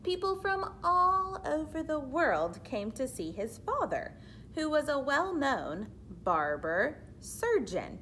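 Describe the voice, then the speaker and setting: A woman reads a children's book aloud at an unhurried pace with a lively, moving pitch. She explains things simply as she goes, like a teacher. There is clear background noise on the recording. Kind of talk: read-aloud storytelling with explanatory asides for children.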